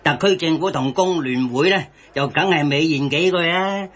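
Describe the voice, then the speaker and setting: A man's voice: on the high side, normal speed, fairly bright tone. Conversational hong kong cantonese guy, wry radio satire banter, slightly dull broadcast sound. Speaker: radio drama actor. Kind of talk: satirical radio drama acting.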